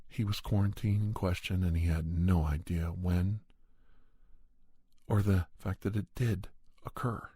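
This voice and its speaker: A man with a soft, breathy, raspy voice telling horror stories in a hushed, deliberate ASMR style, close to the microphone. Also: low-pitched, steady tone, no room sound. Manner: slow, hushed, deliberate delivery. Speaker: podcast host and storyteller. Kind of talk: soft ASMR first-person horror storytelling.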